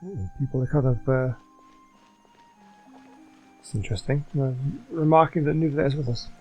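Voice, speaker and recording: A man giving casual, low-key commentary on a game playthrough and reading on-screen text aloud. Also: normal speed, warm tone, poor audio. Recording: music behind the voice.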